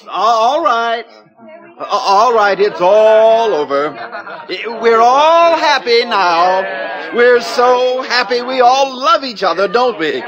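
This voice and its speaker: An excitable official in a 1950s radio drama. His voice is strikingly high, set against a loud crowd in an old radio production. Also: slow, muffled recording, exaggerated voice. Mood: soothing. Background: noisy crowd.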